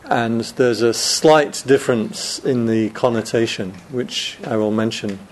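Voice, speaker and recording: A man's voice: medium pitch, steady tone, measured pace, slight background noise. A man, a Buddhist monk, giving a chatty Dharma talk to a room of students, joking and laughing often.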